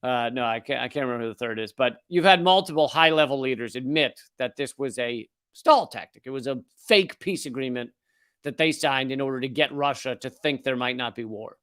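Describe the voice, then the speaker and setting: A man's voice: medium pitch, a little fast, fairly bright tone, clear, resonant tone, no room sound, studio quality. A man hosting a political comedy show, delivering sardonic, profane commentary with mocking put-on voices.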